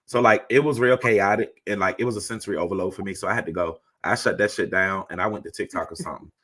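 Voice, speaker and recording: A man with a deep voice hosting a casual chat, talking in a laid-back, rambling way. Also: fast talker, a bit bright. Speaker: host.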